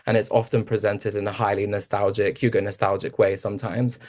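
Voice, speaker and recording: A man's voice: fairly low pitch, little pitch movement, a little fast, darkish tone. A man speaking on a panel, talking through history with many fillers and restarts. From Albanian family from Kosovo. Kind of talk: panel discussion talk.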